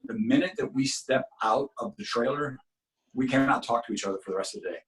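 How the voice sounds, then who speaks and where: A man's voice: medium pitch, fast talker, rough recording. A middle-aged man, born in Cuba with Spanish as his first language, telling stories as an actor guest in a casual interview, earnest and full of restarts. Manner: earnest, talks in long runs with many restarts.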